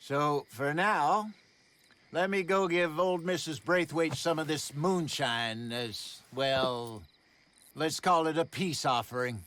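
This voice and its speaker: An actor playing a wily, genial older American outlaw con man with a smooth, wordy, theatrical manner in a video game cutscene. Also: on the high side, takes it slowly, a bit bright, a little hiss or hum. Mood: reflective.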